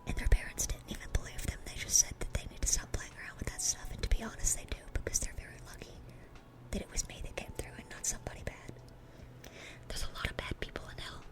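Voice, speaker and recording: A woman voicing a sweet, dorky demon girl in a whispered ASMR roleplay, rambling and stammering in an eager, awkward way. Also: close to an everyday voice.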